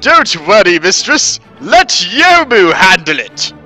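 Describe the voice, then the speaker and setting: A man's voice: high-pitched, sing-song, slow, pushed and bright, soft background music, voice pushed up, exaggerated voice. A man voicing a devoted, flustered young servant girl who speaks in deferential exclamations in a story reading. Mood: reassuring.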